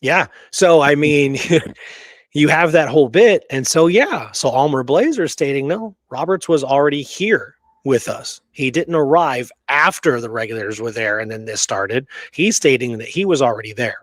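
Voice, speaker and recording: A man's voice: medium pitch, expressive, normal speed, no room sound, clean studio sound. A man casually telling and discussing history as a show co-host, emphatic and often checking in with "right?".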